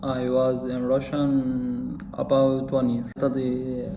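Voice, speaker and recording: A man's voice: medium pitch, completely flat delivery, slow pace. A man in his twenties from Syria answering interview questions hesitantly, heavy with fillers. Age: 20s. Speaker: interviewed student. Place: indoor studio room with a cartoon backdrop. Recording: echoey, dull-sounding room with noise.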